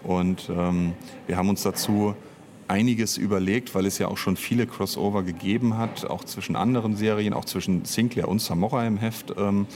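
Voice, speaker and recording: A man's voice: fairly low pitch, steady tone, normal speed, a little mellow. A man talking casually as an interview guest, explaining at length and often restarting his sentences. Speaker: interviewed audio drama author and director. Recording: noticeable background noise.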